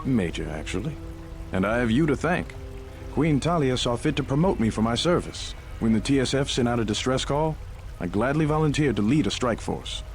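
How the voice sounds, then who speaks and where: A man playing a composed, dutiful army major who speaks in a measured, formal way in a video game. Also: medium pitch, lively intonation, faint music behind, close to an everyday voice. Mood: earnest, composed.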